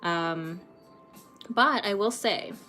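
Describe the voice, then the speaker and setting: A woman in her late twenties from Canada, chatting casually with viewers while painting on a livestream, rambling a little and filling pauses with ums. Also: medium pitch, bright, forceful tone, faint music behind. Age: late 20s. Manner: chatty, rambling, laughs often, many ums. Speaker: art livestream host.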